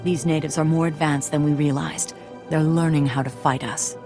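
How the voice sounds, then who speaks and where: An actress playing a composed, grave Jedi master in a video game, measured and formal as she advises apprentices. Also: sits low, steady tone, music in the background.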